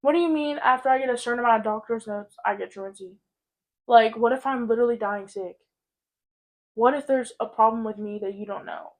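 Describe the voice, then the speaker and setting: A woman's voice: a little high, a little fast, poor audio. A sixteen-year-old young woman chatting casually and candidly as a podcast co-host, peppering her informal talk with "like". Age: 16, teenager. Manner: candid, informal, heavy use of "like".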